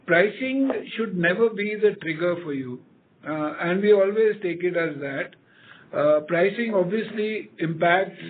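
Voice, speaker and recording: A man with an Indian accent answering questions as an executive on an earnings call, with a halting, measured delivery. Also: a little high. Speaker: company executive. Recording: tinny phone line with noise.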